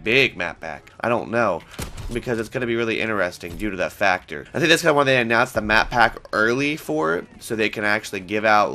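A man's voice: lowish voice, bright, forceful tone. Congested young man ranting over gameplay. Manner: rambling, swears often, frustrated outbursts. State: congested from a cold. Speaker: gaming channel host. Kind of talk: casual gameplay commentary. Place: small home room. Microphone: headset.

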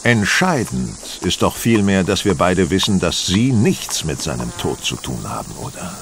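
An actor playing a confident, condescending detective in a German audio drama, lecturing precisely and theatrically. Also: male voice, deep voice, very animated, normal speed, a little hiss or hum. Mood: firm, accusing.

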